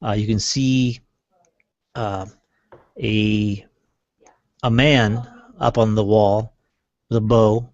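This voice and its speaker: A man improvising scene descriptions as game master, halting and hesitant as he goes. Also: fairly low pitch, fairly even intonation, darkish tone. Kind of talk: improvised tabletop game narration. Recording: dull, roomy lo-fi recording.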